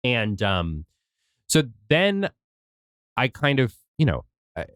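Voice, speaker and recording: A voice actor chatting casually on a podcast Q&A, a little congested from a cold, rambling with restarts. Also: male voice, medium pitch, huge pitch swings, slow pace, fairly bright tone, clear, resonant tone, no echo, studio quality.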